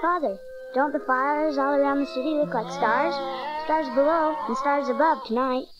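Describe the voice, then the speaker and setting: A woman's voice: high voice, steady tone, normal speed, highs cut off, lightly acted. A female performer playing a wide-eyed shepherd's child full of wonder in a dramatized audio Bible. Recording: music behind, old cassette drama.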